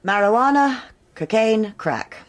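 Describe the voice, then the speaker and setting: An actress playing a stern, authoritative police superintendent who speaks in a measured, formal way. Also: female voice, fairly high pitch, lively intonation. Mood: briefing. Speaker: senior police officer. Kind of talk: radio crime drama acting.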